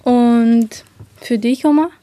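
A woman's voice: fairly high pitch, takes it slowly, dark tone. A twenty-year-old woman from Romania moderating a group talk on the radio. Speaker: radio show host.

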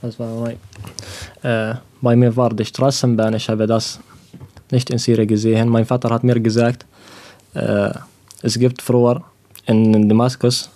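Mellow, hesitant man from Syria. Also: mid-range voice, little pitch movement, unhurried. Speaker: youth radio participant. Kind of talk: casual recollection.

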